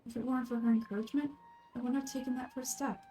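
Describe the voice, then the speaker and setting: Woman acting frail young woman, fantasy game, gentle, wistful, soft-spoken, mellow, music behind. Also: fairly high pitch, steady tone, lightly acted. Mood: grateful. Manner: soft, measured, earnest, wistful.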